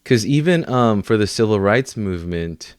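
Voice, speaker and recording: A man's voice: fairly low pitch, lively intonation, moderate pace, smooth, no echo, clean studio sound. A man co-hosting a podcast, talking casually in informal, bro-ish slang. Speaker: podcast co-host.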